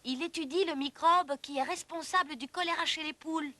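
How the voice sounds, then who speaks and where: A woman's voice: high voice, brisk, bright, forceful tone, dry recording. A woman reading scripted narration for an educational cartoon in an explanatory, storytelling manner.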